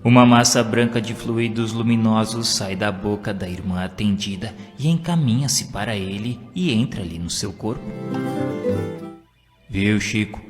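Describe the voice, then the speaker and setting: Deep-voiced Brazilian man narrating solemnly over guitar. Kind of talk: scripted narration of devotional stories and poetry. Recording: long reverb, guitar music behind. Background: plucked guitar music.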